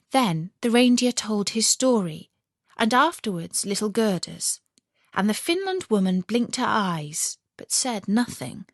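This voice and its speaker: Unhurried woman reading a fairy tale. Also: medium pitch, no echo, clean studio sound. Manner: measured, expressive storybook reading. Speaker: storyteller. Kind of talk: scripted fairy tale narration.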